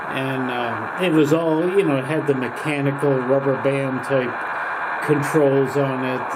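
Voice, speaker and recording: A man in his sixties talks casually and nostalgically, musing like a hobbyist sharing memories. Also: medium pitch. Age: 60s. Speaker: shortwave radio hobbyist. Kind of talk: casual reminiscing monologue. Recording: loud radio static and hum. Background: shortwave radio noise and droning signals.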